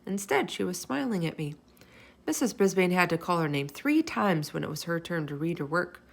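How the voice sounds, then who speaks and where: Woman doing a kids book read-aloud, expressive, lowish voice with lots of creak. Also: normal speed. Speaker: storybook reader. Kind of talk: children's read-aloud, expressive scripted narration.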